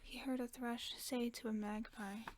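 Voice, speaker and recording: A woman reading a fairy tale aloud in a slow, hushed whisper, as a sleep-aid narrator. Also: on the high side, flat delivery, a little hiss or hum. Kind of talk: soft whispered bedtime story reading.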